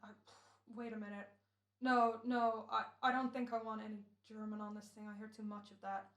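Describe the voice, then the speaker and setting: A woman playing a brisk, fussy male radio director in an old-time drama, bossy and thinking aloud. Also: mid-range voice, flat delivery, lightly acted. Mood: businesslike, fussy. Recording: echoing room, home recording.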